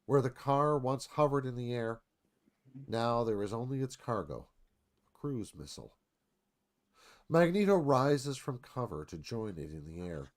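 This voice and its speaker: A man's voice: mid-range voice, expressive, measured pace, pauses often. A man reading screenplay stage directions aloud as scripted narration.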